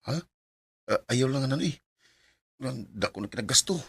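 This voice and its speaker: A man with a Cebuano Bisaya Filipino accent playing a thrifty, emotional young boyfriend in a radio drama, his voice husky. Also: medium pitch, lively intonation, normal speed. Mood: reluctant.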